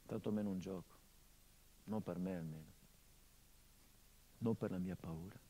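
A middle-aged actor playing a grieving son in a theatrical monologue, with bitter irony that swings from loud outbursts to hushed low tones. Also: male voice, unhurried, slight background noise, rough recording. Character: grieving son of a murdered man, bitter, haunted. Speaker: stage actor.

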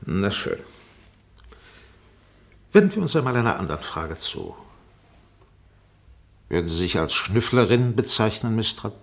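An actor playing a sharp, sarcastic defense barrister in a radio courtroom drama, pressing, insinuating and commanding. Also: male voice, on the low side, big pitch swings, measured pace, long pauses. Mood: coolly probing. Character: sharp, sarcastic male defense barrister cross-examining a witness.

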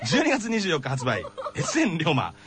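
Animated high-voiced man bantering on radio. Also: moderate pace, slight background noise. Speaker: radio show co-host.

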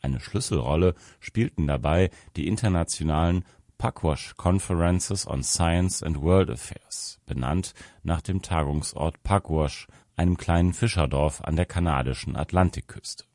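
Male narrator, very deep bass, formal measured article read, dry studio-style sound. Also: darkish tone, no echo. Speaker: narrator reading a magazine article. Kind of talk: scripted narration.

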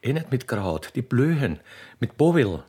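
A man puts on a plump Austrian widow for a radio play, greedy and flirtatious. She sighs and gushes in a husky, lively voice. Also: mid-range voice, unhurried. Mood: coaxing. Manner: sighing, gushing.

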